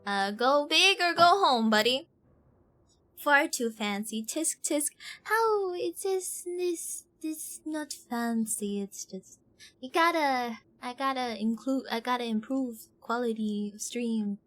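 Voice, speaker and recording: A woman live streamer chatting casually as she plays a game, playful, expressive and prone to exclamations. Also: on the high side, slow pace. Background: game music.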